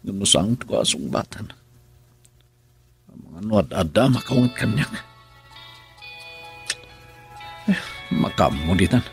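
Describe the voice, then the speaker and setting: An Ilocano actor playing a brooding, regretful husband who drinks in a radio drama, with a gravelly, husky voice. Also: male voice, sits low, expressive, moderate pace, soft background music. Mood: gloomy. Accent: Filipino, Ilocano. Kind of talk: Ilocano radio drama acting with inner monologue.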